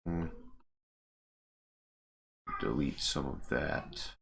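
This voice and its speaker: A man's voice: rumbling low, lively intonation, very slow, gravelly. A man thinking aloud in a casual walkthrough as he works at a computer, muttering to himself. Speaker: mod creator. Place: indoors at a computer. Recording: noticeable background noise.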